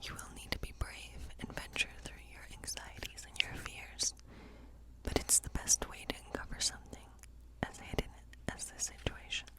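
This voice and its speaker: Whispering woman doing ASMR tarot role play. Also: poor audio. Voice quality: breathy, unvoiced whisper. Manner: gentle, unhurried, explanatory, pausing between short phrases.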